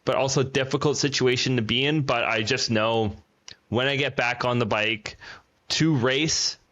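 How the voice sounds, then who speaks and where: A man's voice: medium pitch, moderate pace, a bit bright. A man in his thirties, an athlete speaking in casual podcast chat, earnest and reflective in long flowing sentences. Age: 30s. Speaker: para cycling athlete. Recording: dull lo-fi sound.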